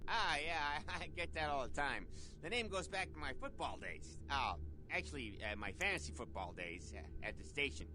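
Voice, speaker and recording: An actor playing a dim, rambling night security guard who talks eagerly and chuckles at himself. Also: male voice, on the high side, animated, moderate pace, hardly any pauses, background noise, close to an everyday voice. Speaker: front desk security guard.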